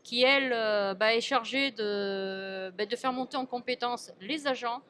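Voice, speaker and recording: A woman speaking as an elected local official in an informal interview, halting and often repeating and restarting her words. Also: a little high, fairly bright tone. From France.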